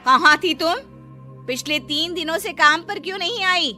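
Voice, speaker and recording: Woman acting stern rich mistress, high voice, bright and sharp, scolding, demanding, audio story. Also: moderate pace, soft background music, exaggerated voice. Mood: annoyed. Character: stern, scolding wealthy lady employer.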